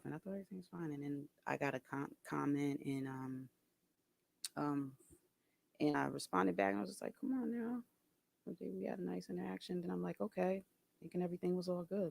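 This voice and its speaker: A soft-voiced man talking casually to camera, hesitant, with many ums and restarts. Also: fairly high pitch, steady tone, fairly quick, vocal fry. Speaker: video creator.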